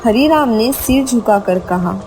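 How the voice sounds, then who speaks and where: A woman's voice: fairly high pitch, animated, measured pace, darkish tone, a little hiss or hum. A woman narrating a scripted horror story with dramatic storytelling delivery.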